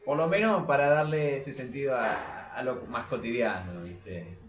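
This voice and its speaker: A man's voice: medium pitch, measured pace, muffled recording, background noise. A man with an Argentine Spanish accent co-hosting a casual literary podcast, hesitating and rephrasing as he goes. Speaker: podcast co-host. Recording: roomy echo, distant from the mic.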